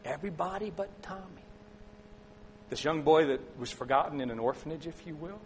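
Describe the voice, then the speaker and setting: A middle-aged man preaching a sermon, telling a personal story and asking the congregation questions, sometimes loud and sometimes soft. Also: fairly high pitch, fairly quick, slight background noise. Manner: conversational, asks the congregation questions, swings between loud and soft. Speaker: pastor.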